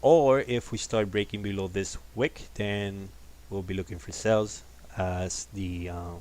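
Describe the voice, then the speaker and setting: A man with a deep voice hosting a live trading stream, talking in a calm, laid-back, casual way. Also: a little slow. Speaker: host of a live trading session. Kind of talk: casual livestream market commentary.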